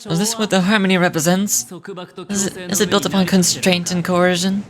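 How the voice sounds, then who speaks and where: A woman's voice: lowish voice, steady tone, fairly quick, a crowd in the background, voice pushed down, lightly acted. A woman voicing a defiant, bitter young male gambler from a video game, sardonic and accusing. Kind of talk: reading game dialogue aloud in character.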